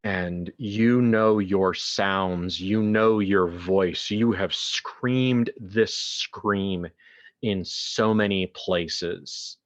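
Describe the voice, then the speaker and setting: A man narrating a horror tabletop game as game master, theatrical and deliberate with long pauses, in dull lo-fi sound. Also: low-pitched.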